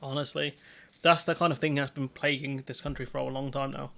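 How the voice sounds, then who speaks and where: A man's voice: medium pitch, level tone, a little fast, creaky, a bit bright. A man hosting a political livestream, ranting with exasperated sarcasm and frequent restarts. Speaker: livestream host.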